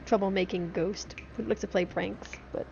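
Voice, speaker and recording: A woman's voice: mid-range voice, steady tone, normal speed, creaky, darkish tone, a little hiss or hum. A woman live streamer chatting casually over a game, giggly and rambling. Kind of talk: casual livestream chat while gaming. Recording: dull lo-fi stream microphone.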